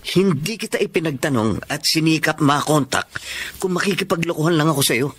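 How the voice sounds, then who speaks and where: A smug, scheming ex-boyfriend, voiced by an actor in a radio drama. He speaks calmly and deliberately. His voice sits slightly high. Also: breathy edge. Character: ex-boyfriend Nick, smug and calculating.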